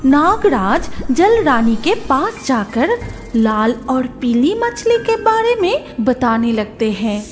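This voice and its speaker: A woman's voice: high voice, big pitch swings, slow pace. A woman reading scripted narration for a fairy-tale cartoon. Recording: faint music behind the voice.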